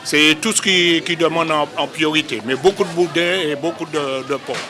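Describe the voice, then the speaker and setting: A man's voice: fairly high pitch, moderate pace, pushed and bright, faint music behind. A man working in a shop, talking casually in an on-the-spot interview.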